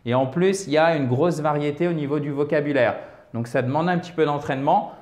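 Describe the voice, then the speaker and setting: A French man teaching English online, his voice sitting a little higher than most men's. He talks in a chatty way, walking through examples one step at a time. He keeps checking in with quick little "ok?" asides. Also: darkish tone, reverberant. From France. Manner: chatty, checks in often with "ok?" and "d'accord?". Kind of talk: online language lesson.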